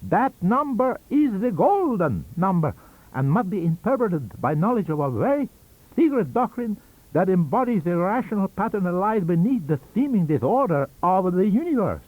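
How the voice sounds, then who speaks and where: A man voicing a cunning, wheezy old foreign scientist-magician in an audiobook, with a theatrical, halting and emphatic delivery. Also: high voice, very animated, dry recording, pitched above the performer's own voice. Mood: mystical, instructing. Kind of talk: audiobook character reading. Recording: dull lo-fi audio cassette.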